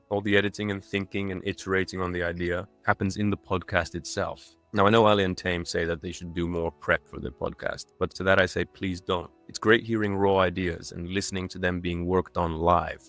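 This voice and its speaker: A man's voice: deep voice, a bit bright. A man in his twenties, raised in Dubai, delivering a scripted personal narration in a lightly self-mocking, tongue-in-cheek manner. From born and raised in Dubai in a Croatian family, moved to England as a child. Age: 20s. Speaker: video creator.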